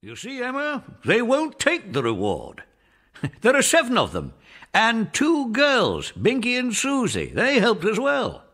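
A man acts a kindly old British general in a children's audio play. He is hearty and jolly, his pitch lifting high and swinging widely. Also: wildly swooping pitch, measured pace, a bit bright, husky, heavily acted. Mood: delighted. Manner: hearty, chuckling exclamations.

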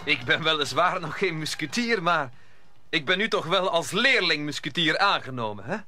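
An actor playing a bold, eager young apprentice musketeer in a radio play, with an insistent manner. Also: male voice, fairly high pitch, animated, moderate pace, pushed and bright, soft background music. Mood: proud. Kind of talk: Dutch radio play acting.

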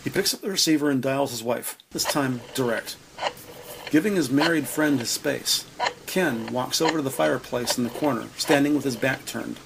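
A man narrating an audio drama from a script, describing scenes in measured present-tense storytelling. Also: mid-range voice, vocal fry, background noise. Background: music.

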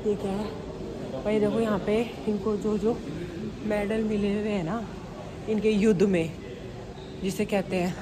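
A young woman with an Indian accent talks casually into a phone held at arm's length, pointing things out to her viewers. Her voice sits at a middle pitch. Steady background noise from the busy museum halls fills the recording. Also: measured pace, pauses often. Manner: chatty, addresses viewers directly. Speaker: travel vlogger. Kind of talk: casual walking tour vlog. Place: large indoor museum halls. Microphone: handheld phone at arm's length.

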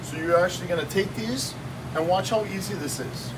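A man in his fifties, a shop owner giving an energetic, salesy cooking demo in a small shop office, filmed on a phone. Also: high voice, brisk. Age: 50s. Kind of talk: casual promotional demo. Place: small shop office with kitchen counter, indoors. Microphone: phone camera held by someone nearby. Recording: distant phone audio with room noise.

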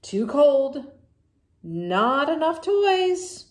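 A stubborn, melodramatic storybook pigeon , acted out by a woman reader, emphatic and childishly argumentative. The pitch jumps up and swoops, and the words ring in an echoey room. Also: very animated, extremely slow, full character voice. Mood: fussy, complaining. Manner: emphatic, exclaiming, protesting. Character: dirty, stubborn, dramatic cartoon pigeon who argues like a child.

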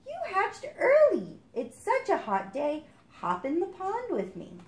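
A woman voicing a warm, gentle mother duck in a storybook read-aloud, sing-song and motherly. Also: very high voice, wildly swooping pitch, unhurried, voice pushed up, heavily acted. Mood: delighted. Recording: echoey room.

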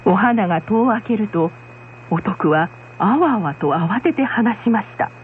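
A woman's voice: medium pitch, animated, unhurried, noisy background. A woman narrator reading a scripted folktale in storytelling style. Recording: muffled lo-fi radio sound.

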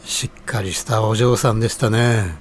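A man's voice: on the low side, fairly even intonation, moderate pace, natural voice. An actor playing a polite, genial middle-aged ramen stall owner and father in an audio drama, speaking humbly and courteously. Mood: polite, admiring. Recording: audible background noise.

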